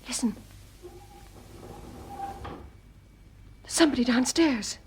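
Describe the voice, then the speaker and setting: A woman playing a sharp, forthright detective's partner in a radio mystery drama, direct and dry in manner, with some noise behind her. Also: sits high, a little fast, close to an everyday voice. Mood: alert, hushed.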